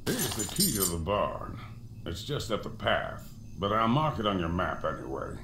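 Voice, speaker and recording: A man's voice: sits low, very animated, moderate pace. A man playing a friendly, hearty farmer in a video game, plainspoken and welcoming. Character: friendly, hearty male farmer helping a newcomer. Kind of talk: video game character dialogue. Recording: roomy echo, faint noise.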